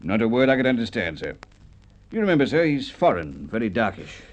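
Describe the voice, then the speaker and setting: Casting: male actor as eager, respectful radio-play bobby, lively intonation, dull old lo-fi recording. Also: medium pitch, brisk, natural voice. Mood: pleased, dutiful. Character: dutiful, plain-spoken male police constable, pleased and deferential. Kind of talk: radio drama acting.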